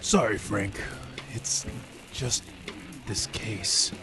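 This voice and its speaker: An actor playing a weary, hard-drinking, tenacious police detective in old-time radio style. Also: male voice, fairly low pitch, over music. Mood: weary, bitter. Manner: slow, heavy, world-weary. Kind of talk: 1940s radio acting.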